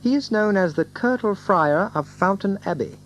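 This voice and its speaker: An actor playing a genteel, wry young outlaw with a refined manner, speaking archaic English in a radio drama. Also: male voice, high-pitched, expressive, normal speed, slight background noise, poor audio. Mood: sly, teasing.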